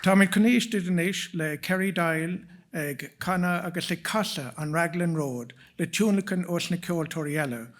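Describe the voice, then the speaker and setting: Higher-pitched Irish man presenting an event. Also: fairly quick. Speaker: event presenter introducing performers. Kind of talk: bilingual introduction, reading prepared remarks.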